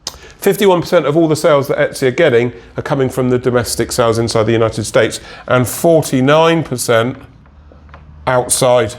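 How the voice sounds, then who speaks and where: A man's voice: mid-range voice, moderate pace. A man with a head cold and a slightly nasal voice, talking casually to viewers as an online selling consultant and often checking in with them. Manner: chatty, checks in with listeners with "right?" and "okay?". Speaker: Etsy consultant. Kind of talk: casual direct-to-camera explainer.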